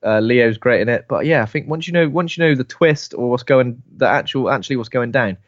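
A man with a British accent, co-hosting a podcast in relaxed chat, joking, laughing often and repeating words as he thinks. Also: medium pitch, brisk, no echo, dull audio. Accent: British English. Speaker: podcast co-host. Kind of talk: casual chat.

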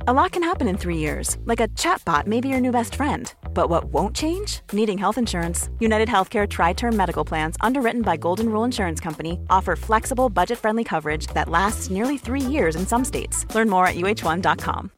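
A woman reading a scripted insurance commercial in a bright, upbeat sales delivery. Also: medium pitch, lively intonation, a little fast, few pauses, faint music behind. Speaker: ad announcer.

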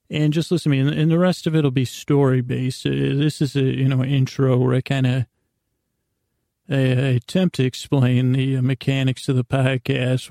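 A man with a dark, relaxed, gravelly voice hosts a bedtime podcast, recorded close and dry. He rambles in an improvised way, stumbling into restarts and drifting into tangents. His delivery stays fairly even, self-deprecating and gently reassuring. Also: medium pitch, dry recording. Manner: halting and meandering, with stammered restarts and trailing tangents. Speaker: podcast host.

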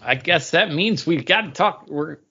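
A man's voice: on the high side, expressive, normal speed, sharp tone, husky. A man co-hosting a podcast in a casual, conversational style. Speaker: podcast co-host. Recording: dull, lo-fi podcast audio.

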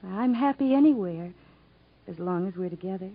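An actress playing a devoted, worried young country wife, earnest and emotional, in a 1950s radio drama. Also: female voice, medium pitch, expressive, normal speed. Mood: affectionate. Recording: muffled 1950s radio broadcast recording.

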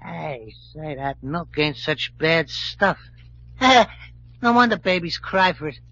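A man's voice: fairly high pitch, animated, slow, pushed and bright, highs cut off, exaggerated voice. An actor playing a cocky, hungry American gunman who talks in wisecracking tough-guy slang, in old-time radio style. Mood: smug, relishing.

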